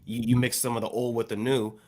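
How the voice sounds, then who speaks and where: A man with an American accent co-hosting a hip hop podcast, explaining points in a measured way with plenty of "you know". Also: mid-range voice, flat delivery. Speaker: podcast co-host.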